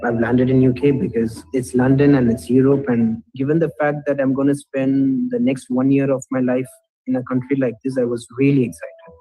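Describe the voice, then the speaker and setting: A man's voice: mid-range voice, flat delivery, normal speed, warm tone, no room sound, soft background music, rough recording. A young man giving a personal testimonial as a student living abroad. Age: young adult.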